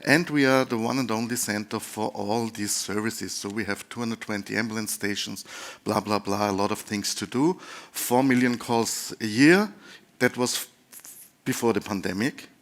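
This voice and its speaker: A man's voice: on the low side, moderate pace, a bit bright. Chatty man with an Austrian accent. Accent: Austrian German. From Lower Austria. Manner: chatty and informal, with light humor. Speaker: conference speaker, dispatch center representative. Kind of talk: conference presentation.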